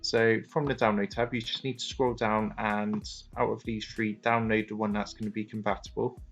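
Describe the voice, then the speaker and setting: Low, gravelly male tutorial narrator. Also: little pitch movement, moderate pace, muffled recording. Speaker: tutorial presenter. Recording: music playing behind the voice. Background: music.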